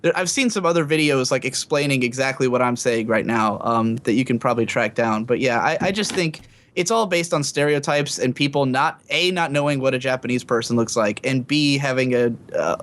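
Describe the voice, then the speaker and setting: A man talking on a casual group podcast, his voice sitting at an ordinary middle pitch. He rambles through his points, stopping and restarting his sentences and leaning on filler words, and he laughs easily between thoughts. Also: a little fast, fairly bright tone. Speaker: podcast co-host.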